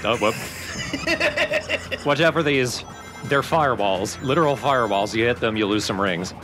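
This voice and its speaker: A man's voice: medium pitch, moderate pace, low-quality recording. A man giving casual commentary while playing a video game. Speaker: let's play commentator and player. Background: game music.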